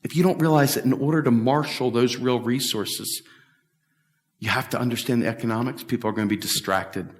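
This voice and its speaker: A middle-aged man giving an animated, emphatic talk at a policy event, repeating his points and gesturing as he answers questions. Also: mid-range voice, brisk. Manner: emphatic, repetitive, talks with big hand gestures. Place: large bright hall with windows, standing before a stage table. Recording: echoey hall from far off.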